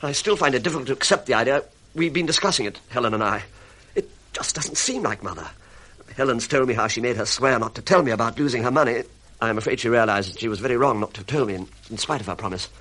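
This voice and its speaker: A man's voice: medium pitch, fast, a bit bright, lightly acted. A man playing a bewildered, anxious bereaved son in an old-fashioned radio mystery, earnest and hesitant in his delivery. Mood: earnest, troubled.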